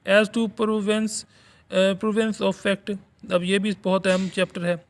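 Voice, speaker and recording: A man with a Pakistani accent giving an explanatory lecture in a halting, deliberate manner, as if from notes. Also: sits high, little pitch movement. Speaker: law lecturer and channel host.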